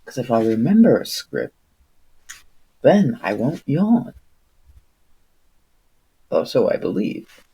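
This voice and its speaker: A man streaming solo, reading aloud and chatting casually in an unhurried, rambling, wry manner. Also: medium pitch, big pitch swings, mellow, breathy edge, rough recording. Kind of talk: audiobook-style reading and casual livestream chat.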